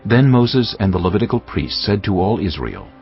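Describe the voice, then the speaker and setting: A man's voice: lowish voice, big pitch swings, normal speed, vocal fry. A man narrating a dramatized audio Bible as a grave, formal storyteller. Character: grave male narrator of scripture. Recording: dull band-limited audio over music. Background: background music.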